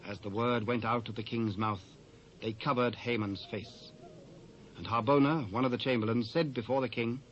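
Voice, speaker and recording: A man's voice: mid-range voice, steady tone, normal speed. A British man narrating scripture from a script, as the storyteller in a dramatised reading. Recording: dull lo-fi cassette audio.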